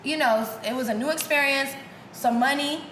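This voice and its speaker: A young woman from Argentina whose first language is Spanish talks as an interview guest, bubbly and full of fillers. Her voice sits a little high. The loft sounds roomy and echoey, with clear noise behind her. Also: moderate pace, fairly bright tone. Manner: bubbly and chatty, many "like" and "you know" fillers. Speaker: model and actress guest. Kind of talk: casual interview. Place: loft with big windows.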